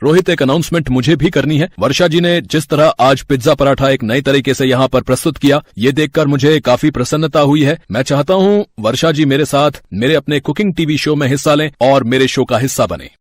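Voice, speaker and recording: A man voicing a formal, admiring cooking contest judge in an animated moral story, in an announcer's declamatory manner. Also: mid-range voice, fairly quick, few pauses, natural voice. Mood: impressed.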